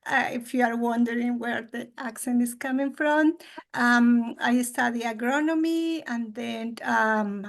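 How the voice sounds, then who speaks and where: A woman from Colombia with a Colombian Spanish accent, a plant pathologist introducing herself before a talk. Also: a little high. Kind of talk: presentation introduction.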